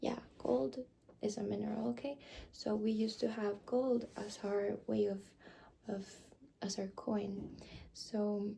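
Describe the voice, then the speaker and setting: A young woman doing a whispered ASMR roleplay, speaking softly and hesitantly with many fillers. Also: medium pitch, little pitch movement, slow, vocal fry, slight background noise. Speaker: ASMR creator.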